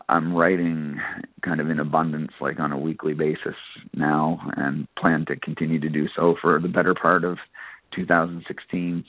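A man giving casual interview answers as a country singer, halting and often saying "you know". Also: a bass voice, no room sound. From Nova Scotia, Canada. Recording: phone-like narrow radio line, dry.

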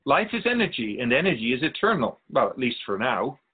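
A man playing a wise, patient otherworldly spirit in a radio drama, explaining things in a measured, gently teasing way. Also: mid-range voice, big pitch swings, slightly rough, narrow, tinny audio. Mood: musing, playful. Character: Keeper of Souls' Purpose, wise otherworldly spirit, patient and curious.

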